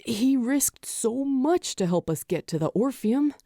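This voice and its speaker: A woman voicing an anxious, earnest young male ghost in a narrated story, with a hesitant, halting manner. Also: on the high side, lively intonation, mellow, no room sound, clean studio sound, pitched above the performer's own voice. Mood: pleading.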